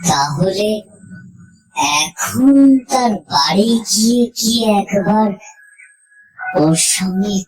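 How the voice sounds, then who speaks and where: A woman narrating a scripted horror story in the first person, suspenseful and dramatic, with deliberate pauses. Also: medium pitch, animated, poor audio. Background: music.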